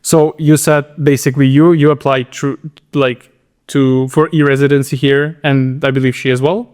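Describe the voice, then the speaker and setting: A man's voice: mid-range voice, little pitch movement, normal speed, a little mellow, clean tone. A man hosting a casual interview, asking questions conversationally. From Latvia. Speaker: interviewer, host.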